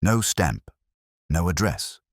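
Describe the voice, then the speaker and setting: Man reading a mystery story, super low voice, kinda husky, animated. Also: slow pace, no room sound, studio quality. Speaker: narrator. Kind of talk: scripted mystery narration.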